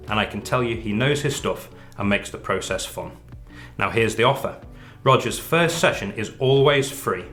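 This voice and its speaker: A man's voice: fairly low pitch, a bit bright. A man hosting a podcast, reading a sponsor offer and interviewing a guest in an upbeat, polished way. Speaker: podcast host. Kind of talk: host interview and ad read.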